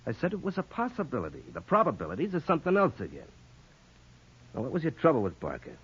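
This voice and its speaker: An actor playing a wisecracking, needling police lieutenant with sarcastic banter, in a 1950s radio drama. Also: male voice, medium pitch, wildly swooping pitch, quick pace, slightly rough, exaggerated voice. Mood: sardonic, needling. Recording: muffled old radio recording.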